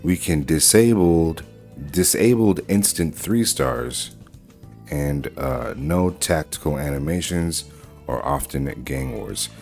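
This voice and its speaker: A man hosting a casual software walkthrough, talking informally through each step as he goes. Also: low voice, lively intonation, takes it slowly, creaky, faint music behind. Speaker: tutorial host.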